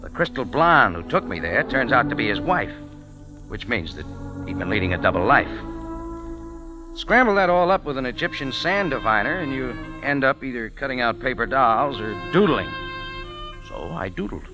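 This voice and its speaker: A tough, wisecracking American adventurer in an old radio drama, with music behind him. His delivery is animated, moving freely between dry slang and punchy insistence. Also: medium pitch, moderate pace. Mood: wry narrating. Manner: hard-boiled, slangy, wisecracking. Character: wry, tough American adventurer and first-person detective narrator. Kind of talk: 1940s radio acting. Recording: vintage 1940s radio broadcast with music.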